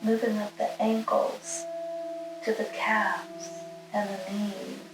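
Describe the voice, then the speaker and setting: Very slow, soothing woman leading meditation. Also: medium pitch, little pitch movement. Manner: soothing, gentle instruction in short, spaced phrases. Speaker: guided meditation leader. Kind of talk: guided meditation, spoken slowly with long pauses. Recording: roomy, distant mic, music underneath.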